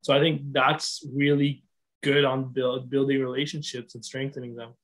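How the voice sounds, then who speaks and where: A man's voice: mid-range voice, flat delivery, moderate pace, fairly bright tone. A young man from Sri Lanka talking casually on a video call panel, chatty with lots of "like" and "right". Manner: chatty, many likes and rights.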